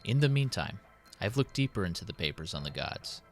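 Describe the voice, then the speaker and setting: A low male voice put on by a narrator as a burdened city president in a story. He is formal and measured, dictating a solemn diary with dutiful worry, over soft background music. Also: very animated. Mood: troubled, resolute. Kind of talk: dramatic in-character diary reading.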